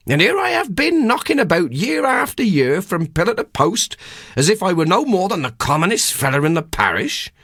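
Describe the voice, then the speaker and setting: A man's voice: high-pitched, big pitch swings, normal speed, a bit bright, slightly rough, dry recording, studio quality, voice pushed up, heavily acted. An actor voicing a middle-aged, naive and self-important country man with a West Country English accent and a wondering, wheedling manner, in a literary audiobook. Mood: wondering, puffed up. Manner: rustic dialect, wondering and wheedling.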